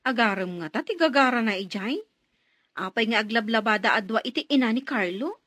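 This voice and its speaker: Lady playing a nosy teasing villager in radio drama, piercing bright voice, very dry sound. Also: on the high side, lively intonation, fairly quick, no echo. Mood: curious, teasing. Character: gossiping village woman friend, teasing.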